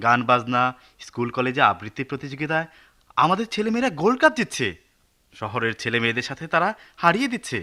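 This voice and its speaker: A man's voice: medium pitch, pushed and bright. A man playing an earnest, modern-minded young college son in a radio drama, reasoning persuasively with a Bangladeshi accent. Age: young adult.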